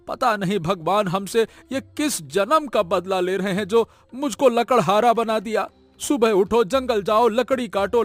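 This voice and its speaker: A man's voice: extremely high pitch, dry recording. A man playing a poor, exasperated village woodcutter husband in a cartoon story, grumbling and whining. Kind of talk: Hindi cartoon moral story voice acting.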